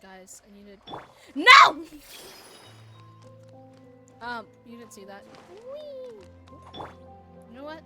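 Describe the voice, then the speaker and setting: Casting: playful woman streamer, high-pitched and very animated, chatty game commentary with exclamations. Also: extremely slow, long pauses, over music. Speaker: live streamer.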